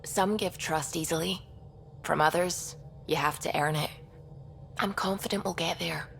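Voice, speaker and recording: An earnest, sociable young woman of a starship science crew. Her voice sits a little low, and she talks in a curious, chatty way that hesitates and restarts. Music plays behind her. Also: fairly even intonation, measured pace, vocal fry, a bit bright. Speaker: video game crew member. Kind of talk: video game dialogue.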